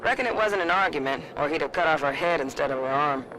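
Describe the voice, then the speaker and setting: An actor playing a wry, talkative squad soldier in a sci-fi video game, with casual banter. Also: male voice, a little high, lively intonation, fast, background noise, close to an everyday voice. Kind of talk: video game military dialogue.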